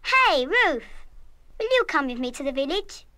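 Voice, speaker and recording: A woman's voice: high-pitched, big pitch swings, moderate pace, pushed and bright, heavily acted. An actress playing a headstrong, proud young British schoolgirl in a radio play, with an emphatic, stubborn manner. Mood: indignant, determined. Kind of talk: full-cast radio play acting.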